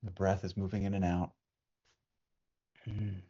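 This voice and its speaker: A man with a fairly low voice guides a meditation in a soothing, unhurried manner. He leaves long pauses between phrases, like a teacher leading breathing practice. The recording is somewhat dull and lo-fi. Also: flat delivery, dark tone. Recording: dull, muffled lo-fi sound.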